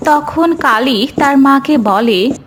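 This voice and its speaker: Woman, narrator, high-pitched, unhurried, scripted fairy-tale narration. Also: lively intonation, slight background noise. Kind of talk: scripted children's story narration.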